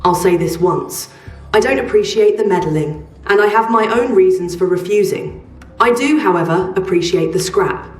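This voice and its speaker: An actress playing a guarded, curt quest-giver in a video game, speaking in a firm, dry, no-nonsense way. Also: female voice, medium pitch, normal speed, lightly acted. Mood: guarded, businesslike. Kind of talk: video game character dialogue. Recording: roomy, distant, faint music behind.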